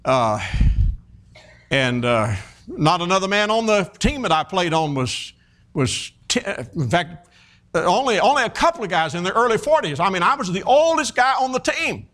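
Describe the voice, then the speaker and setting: Man preaching, fifties, folksy, high voice, swooping pitch. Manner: folksy, animated storytelling with many restarts and fillers. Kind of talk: sermon.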